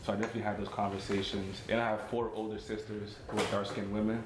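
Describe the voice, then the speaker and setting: A young man tells casual personal stories at a quick pace. His voice sits fairly low, and the recording is roomy with clear background noise. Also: little pitch movement. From South Sudanese family, lived in America. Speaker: podcast guest.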